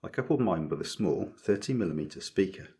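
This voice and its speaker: A man narrating a scripted electronics project tutorial as its maker, explaining methodically in a measured way. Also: deep voice, expressive, mellow, breathy edge.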